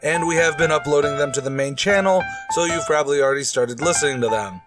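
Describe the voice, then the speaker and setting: A man's voice: a little high, fast talker. Chatty male announcer over prominent music. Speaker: announcer and host. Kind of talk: podcast outro announcement.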